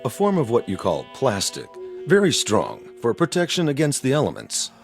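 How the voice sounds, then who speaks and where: A man playing a politely eerie, probing alien in a radio drama, speaking formally and deliberately. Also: medium pitch, huge pitch swings, gravelly. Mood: informative. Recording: music under the voice.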